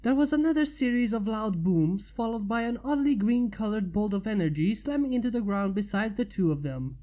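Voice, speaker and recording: A woman's voice: mid-range voice, animated, brisk, warm tone. A woman narrating fan fiction as a story reader.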